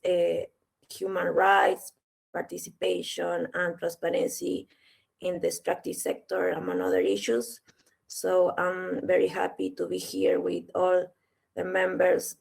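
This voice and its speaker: Gravelly, flat-voiced woman from Peru. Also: lowish voice. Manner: enthusiastic. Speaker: regional representative. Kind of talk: self-introduction on a video call. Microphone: video call.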